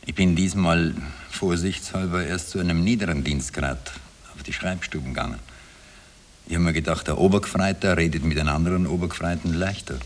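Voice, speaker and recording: A man's voice: sits low, steady tone, fairly quick, slight background noise. An actor in a 1960s radio drama playing an earnest, self-justifying Austrian man, weary and wistful, with an Austrian accent. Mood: pleading, explaining. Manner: earnest, confessional.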